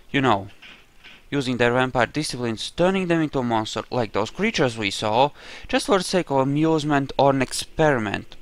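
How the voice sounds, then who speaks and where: A man's voice: medium pitch, clean tone, no room sound. A man giving casual game commentary, chatty and thinking aloud as he plays. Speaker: gamer narrating a playthrough.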